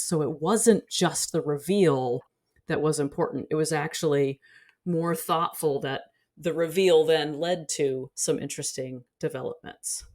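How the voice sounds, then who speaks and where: Low-pitched woman giving thoughtful analysis. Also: darkish tone. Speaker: guest scholar and commentator. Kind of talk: conversational analytical commentary.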